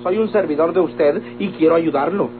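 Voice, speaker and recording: An actor playing a meddling, timid and devoted Mexican manservant, prim and whiny, in old radionovela style. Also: male voice, a little high, lightly acted. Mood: pleading, indignant. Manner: whiny, effusive, prim, drawn-out pleading. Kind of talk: golden-age Mexican radionovela comic acting. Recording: old tinny radio recording with music.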